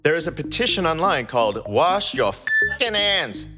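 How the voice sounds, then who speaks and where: Loud music runs behind a man co-hosting a podcast. His pitch moves around a good deal as he jokes in a deadpan way and reads stories aloud. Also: moderate pace. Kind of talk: comic podcast banter and story reading.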